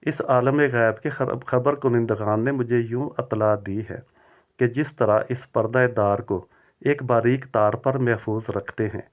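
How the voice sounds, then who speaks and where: A man delivering scripted religious narration as a preacher and storyteller, with formal, measured, reverent diction. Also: mid-range voice, fairly even intonation.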